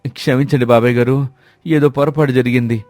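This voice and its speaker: A man's voice: mid-range voice, steady tone, fairly quick, darkish tone, faint music behind, lightly acted. A man playing an earnest village husband who is respectful to elders and admonishing toward his wife in a moral story. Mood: eager, anxious. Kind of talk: dramatised Telugu moral story acting.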